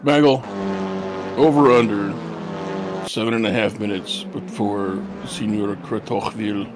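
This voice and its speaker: A man's voice: lowish voice, steady tone, unhurried. A man co-commentating on a sim racing livestream with casual, wry, teasing banter. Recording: loud noise behind voice.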